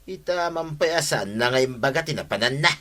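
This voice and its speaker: A man's voice: fairly high pitch, lively intonation, moderate pace, a bit bright. A man with an Ilocano accent playing a blustering, irritated villager in a comedy radio drama.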